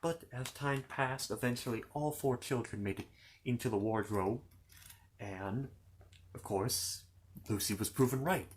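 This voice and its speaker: A man's voice: mid-range voice, a little slow, slight background noise. A man telling a children's fantasy story in an improvised ASMR style, rambling along with asides and repeated phrases.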